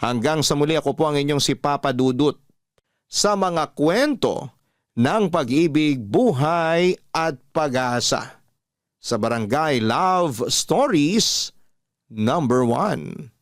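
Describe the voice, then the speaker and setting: A man hosting a radio love-story program, reading a listener's letter aloud in a slow, measured, heartfelt way. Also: on the high side, expressive, dry recording, clean studio sound. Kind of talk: emotional radio storytelling narration.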